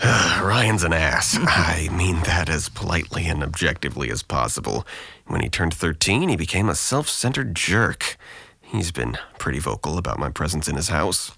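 A man's voice: extremely low pitch, expressive, normal speed, creaky, pushed and bright, breathy edge, exaggerated voice. An actor playing a depressed, guarded young man in an audio drama, with a dry, weary, self-deprecating manner. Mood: sardonic, exasperated.

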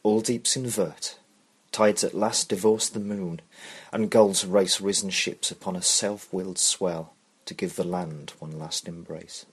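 A man's voice: sits low, fairly even intonation, a little slow, breathy edge. A man giving a literary recital of a poem. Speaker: poetry reader.

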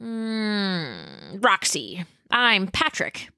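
A woman voicing a curious, easygoing young male dinosaur in a low, slow, drawling voice. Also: wildly swooping pitch, creaky, pushed and bright, clean tone, no echo, clean studio sound, exaggerated voice.

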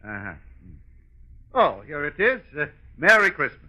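An easily unhinged male psychiatrist stuck serving customers. The voice is high and piercingly bright, delivered as old-time radio comedy. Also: big pitch swings, measured pace, highs cut off, full character voice. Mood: polite, strained.

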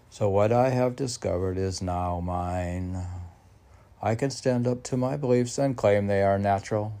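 A man reading his own poetry on an informal podcast, rambling and talking to himself in a halting, musing way, often clearing his throat. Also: low-pitched, mellow. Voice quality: gravelly, husky.

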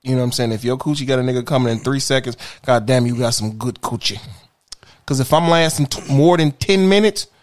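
A man's voice: mid-range voice, fairly quick. Brash, profane podcast host man. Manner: brash, profane, laughing, repeats "you know what I'm saying". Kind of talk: casual crude podcast banter.

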